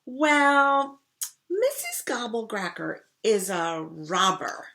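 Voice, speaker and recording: A woman reading a storybook aloud, voicing a scheming older sister who speaks slowly to sound ominous. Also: a little high, lively intonation, creaky, sharp tone, pitched above the performer's own voice, exaggerated voice. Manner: slow, drawn-out, mock-ominous.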